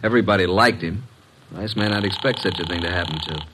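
A brisk, wisecracking sidekick from a vintage radio detective show. His voice is on the low side and moves quickly, plain and businesslike. A light layer of noise and clicks sits on the old recording. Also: a bit bright, low-quality recording. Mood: matter-of-fact. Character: brisk, wisecracking male detective's assistant, loyal and matter-of-fact. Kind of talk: 1950s radio detective drama acting.

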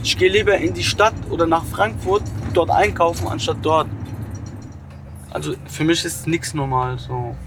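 A man's voice: a little high, fairly even intonation, normal speed, background noise. A young man from an Afghan family speaking bluntly and emotionally in a casual conversation while riding in a car. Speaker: interviewee. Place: in a car at night.

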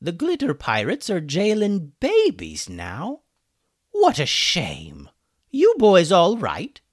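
A man's voice: sits high, huge pitch swings, slow, no echo, voice pushed up, exaggerated voice. A man voicing a sarcastic, weary male chicken wizard in a children's story. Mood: concerned. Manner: sarcastic, dry mocking.

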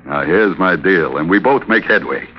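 An actor playing a breezy, sly, scheming sailor in old-time radio drama, cocky, insinuating and easygoing. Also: male voice, low voice, lively intonation, moderate pace. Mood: persuasive, amused. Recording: dull vintage radio recording.

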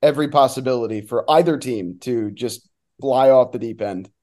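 A man's voice: medium pitch, moderate pace, darkish tone. A man giving casual, opinionated sports analysis as an interview guest, in an informal conversational way. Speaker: guest, soccer play-by-play announcer.

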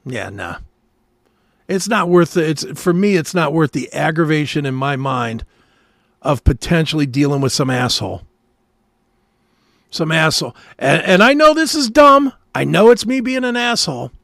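A cantankerous American man chats and rants as a talk show co-host. His voice is on the high side with a heavy fry, recorded close and dry. He is blunt and swears freely. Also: lively intonation, normal speed, fairly bright tone, dry recording. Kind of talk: casual chat, ranting.

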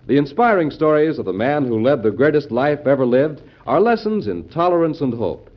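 A man's voice: medium pitch, big pitch swings, a little fast. A man with an American accent reading scripted promotional announcements in a polished, emphatic 1940s network radio style. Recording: dull old radio broadcast, music behind. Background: music.

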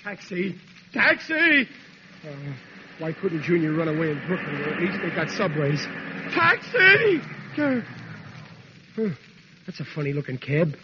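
Blustering working-class father, high bright voice, swooping exasperation, 1940s radio sitcom. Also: huge pitch swings, unhurried, pauses often. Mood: urgent, exasperated. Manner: exasperated, theatrical, ungrammatical bluster. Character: blustering, excitable working-class father, dim but soft-hearted. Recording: noisy muffled vintage radio recording.

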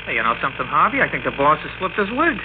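A man's voice: fairly high pitch, big pitch swings, very fast, narrow, tinny audio. A man acting a blunt, bitter, hot-headed worker in an old-time radio drama, griping in slangy talk. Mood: bitter, exasperated. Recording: noisy, band-limited old radio recording.

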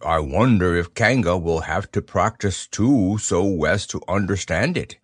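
A man voicing a humble, simple-minded male bear who talks slowly and dreamily. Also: lively intonation, slightly rough, dry recording, studio quality, heavily acted. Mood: musing. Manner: slow, low and dreamy.

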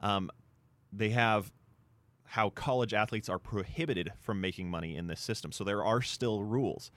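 Low-voiced man, sports radio co-host, explanatory, point-by-point delivery, conversational discussion. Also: moderate pace, a bit bright, dry recording.

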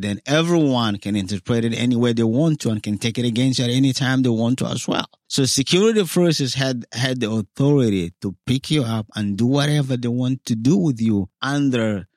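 A man's voice: medium pitch, normal speed, hardly any pauses, no room sound, studio quality. Earnest man from South Sudan recounting his story. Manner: earnest storytelling, acting out quoted dialogue. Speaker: guest. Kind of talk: personal testimony in interview.

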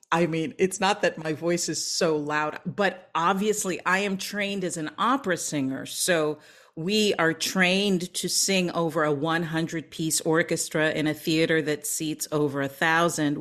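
A woman's voice: low voice, fairly even intonation, fairly bright tone. A woman opera singer and voice coach telling a story in an interview, earnest and emphatic, sometimes dropping to a whisper. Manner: earnest, slow and emphatic, drops to a whisper on key lines.

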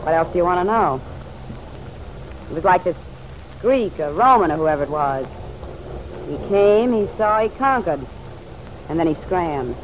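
A woman's voice: lowish voice, expressive, unhurried, long pauses. A muffled vintage radio recording with faint noise carries a hard-boiled American woman. She is defiant and sardonic, snapping out curt slang in classic radio drama style. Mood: sardonic, impatient. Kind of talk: old-time radio drama acting.

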